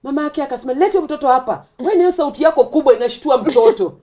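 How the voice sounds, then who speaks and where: A young adult woman with a Kenyan accent and a deep voice, hosting a casual podcast chat and telling lively stories. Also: fast. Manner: lively storyteller, mixes in Swahili phrases. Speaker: podcast host.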